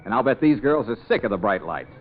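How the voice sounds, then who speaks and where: An actor playing a breezy, smooth-talking fixer who is helpful but slightly exasperated, in an old-time radio comedy. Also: male voice, mid-range voice, big pitch swings, fast talker, lightly acted. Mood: breezy, scheming. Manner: glib, chuckling, smooth-talking. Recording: tinny, noisy vintage radio recording.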